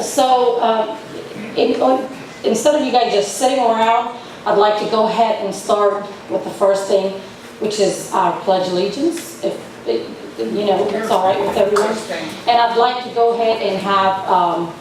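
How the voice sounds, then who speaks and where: A woman's voice: mid-range voice, darkish tone. A woman from Afghanistan chairing a political convention, firm and insistent as she keeps order and gives a speech. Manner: firm, repeats thank-yous to restore order. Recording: echoey hall, distant mic, background noise.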